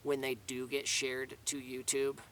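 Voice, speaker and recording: A man's voice: medium pitch, monotone, a little slow, a bit bright, distant mic, slight background noise. A man giving a casual, rambling video update outdoors in the mountains, restarting his sentences often. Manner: rambling, many restarts and fillers. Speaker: vlogger. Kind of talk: casual spoken update to camera.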